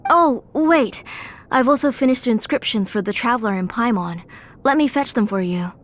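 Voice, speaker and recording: A woman doing a gentle, soft-spoken mother who keeps apologizing. Her voice sits somewhat high. A faint background hiss runs under it in this video game voice acting. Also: lively intonation, moderate pace, close to an everyday voice.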